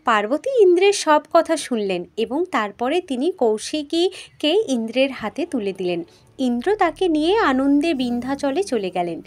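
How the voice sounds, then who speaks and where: High-pitched woman storyteller. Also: sing-song, moderate pace.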